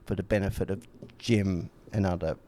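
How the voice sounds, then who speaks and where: A man's voice: deep voice, fairly even intonation, normal speed, mellow, slight background noise. A man co-hosting a podcast in casual, rambling conversation, blunt and opinionated, with frequent swearing. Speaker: podcast co-host.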